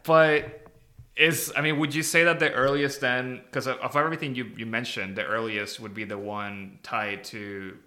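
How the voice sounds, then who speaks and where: A man's voice: mid-range voice, little pitch movement, moderate pace, pushed and bright. A man hosting a podcast, chatting in an informal, bantering way. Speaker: podcast host. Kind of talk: casual podcast chat.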